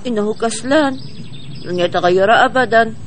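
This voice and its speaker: A friendly young male cartoon bird with a high voice. He is puzzled yet caring, exclaims expressively and speaks clearly over faint background noise. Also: moderate pace, a bit bright. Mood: cheerful, curious. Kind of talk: Arabic children's cartoon dubbing.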